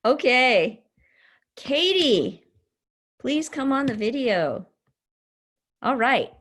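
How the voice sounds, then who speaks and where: A woman's voice: high-pitched, big pitch swings, extremely slow, a bit bright. Effusive woman hosting an online session. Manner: effusive, gushing praise. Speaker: host of a student symposium session. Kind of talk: casual, encouraging event hosting on video call. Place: online video call.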